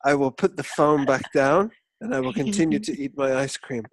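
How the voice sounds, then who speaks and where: A man's voice: a little high, lively intonation, normal speed, low-quality recording. A man co-hosting a football podcast, telling stories in theatrical, mock-indignant, sweary banter. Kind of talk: comic storytelling banter.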